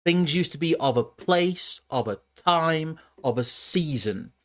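A man's voice: on the high side, expressive, takes it slowly, fairly bright tone. A man hosting a livestream, musing about food and often stumbling and repeating words. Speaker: streamer host. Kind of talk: casual livestream talk.

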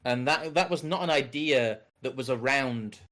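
A podcast co-host from the North of England who speaks in a neutral English accent. His voice sits at a middling pitch, and he talks in a loose, rambling way, often restarting his sentences. It's a relaxed discussion rather than a formal broadcast. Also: moderate pace. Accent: General English. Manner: rambling, self-correcting. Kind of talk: casual political discussion.

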